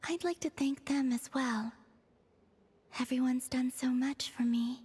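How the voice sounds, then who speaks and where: A woman voicing a gentle, dreamy young moon maiden in a video game, with soft, breathy, near-whispered delivery. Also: high voice, steady tone, a little slow, full character voice. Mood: warm, musing. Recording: music behind the voice.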